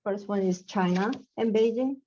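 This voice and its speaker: A young woman from South Korea with a Korean accent, speaking English haltingly as a guest author in a casual interview. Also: medium pitch, warm tone, a little hiss or hum. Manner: halting, searching for words, laughs often. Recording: dull lo-fi sound.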